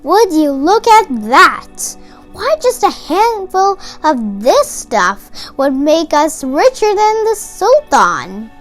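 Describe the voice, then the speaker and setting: Storyteller doing an eager young lad, high and lively. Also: takes it slowly, exaggerated voice. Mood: amazed. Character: brave, eager young street lad, friendly. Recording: music behind the voice.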